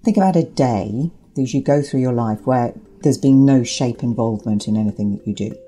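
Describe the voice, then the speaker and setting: A woman's voice: very deep voice, fairly quick, warm tone, breathy edge. A woman giving explanatory interview answers as an academic guest, articulate and emphatic, building points through repetition.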